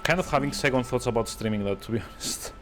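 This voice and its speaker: A man's voice: mid-range voice, quick pace, a bit bright, faint music behind. A man giving casual live commentary as a game streamer, with a sardonic, exasperated manner.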